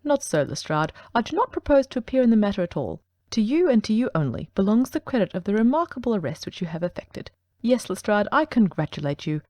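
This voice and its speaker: A woman voicing a brilliant male detective who speaks in a brisk, precise, ironic way, in an audiobook reading. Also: medium pitch, sing-song, darkish tone, dry recording, close to an everyday voice.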